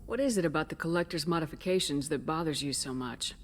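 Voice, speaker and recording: An actor playing a calm military commander in a video game, asking measured, probing questions in a supportive way. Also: mid-range voice, a little hiss or hum, close to an everyday voice. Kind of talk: scripted video game dialogue.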